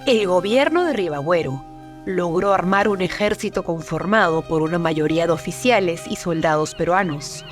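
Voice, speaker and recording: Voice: medium pitch, animated, normal speed. A narrator reads a scripted history documentary. The delivery is that of prepared narration, with faint music underneath.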